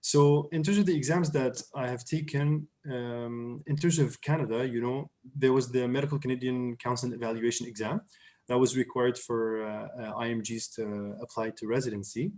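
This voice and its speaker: A young man from Syria answers questions as an interview guest. His voice sits in an ordinary middle pitch. He talks casually over a video call, full of fillers and restarts. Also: fairly even intonation, normal speed, darkish tone. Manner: hesitant, many fillers and restarts. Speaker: interview guest, surgery resident.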